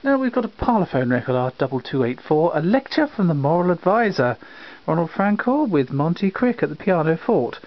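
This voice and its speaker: A man's voice: on the high side, big pitch swings, a little fast, dull audio. A man introducing an old record with plummy, mock-formal broadcast announcing. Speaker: channel host.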